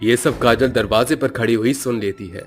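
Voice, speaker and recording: A man's voice: medium pitch, normal speed, soft background music. A man giving scripted narration for a horror cartoon story.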